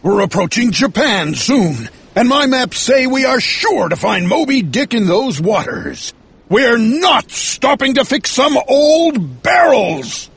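A man voicing an obsessed, domineering whaling ship captain with a rough, strained voice and a slow, commanding manner, in an audiobook story. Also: extremely high pitch, very animated, a bit bright, voice pushed up, full character voice. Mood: furious. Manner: slow, commanding, angry outbursts.

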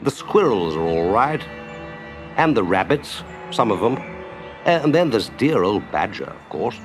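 A man voicing a jolly, brisk, hearty water rat in a children's story, exclamatory and confident. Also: on the low side, very animated, music in the background. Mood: matter-of-fact.

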